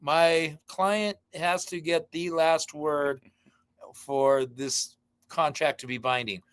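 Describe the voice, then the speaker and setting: A man's voice: on the high side, steady tone, takes it slowly, fairly bright tone, clear, resonant tone, no room sound. A man hosting a casual live sports podcast from a backyard studio, with loose, joking banter. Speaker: podcast host.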